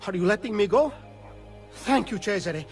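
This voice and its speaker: An anxious, fawning schemer in a game drama. His voice is very high and swoops excitably as he pleads, with faint music behind. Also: moderate pace. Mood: anxious pleading. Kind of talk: dramatic video game voice acting.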